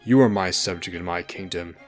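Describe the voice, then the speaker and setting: A proud fantasy king, read by a man, speaks low and formally. He is pompous and menacing, a storybook tyrant issuing his word over a clear bed of music. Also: brisk, natural voice. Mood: cold, stern.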